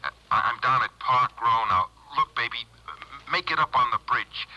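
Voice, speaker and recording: An actor playing a shifty, wheedling crook on the run in a 1950s radio crime drama, slangy and evasive. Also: male voice, mid-range voice, animated, moderate pace, bright, forceful tone. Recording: dull old radio broadcast on cassette.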